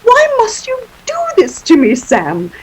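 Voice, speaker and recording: A woman's voice: very high voice, wildly swooping pitch, measured pace, heavily acted. A woman acting a desperate, seductive murderess who pleads theatrically in 1940s radio melodrama style. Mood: anguished.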